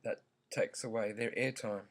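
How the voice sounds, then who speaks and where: A man with a croaky voice, giving his view in a slow, halting, earnest vlog full of pauses and ums. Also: fairly low pitch, steady tone. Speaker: vlogger. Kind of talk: opinion vlog.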